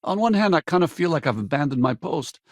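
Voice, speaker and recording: A man playing a weathered, loyal spacefaring pilot in a video game, gravelly and husky, with a casual, wry way of talking. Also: on the high side, sing-song, fast, no room sound, clean studio sound. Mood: regretful. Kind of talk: video game companion dialogue.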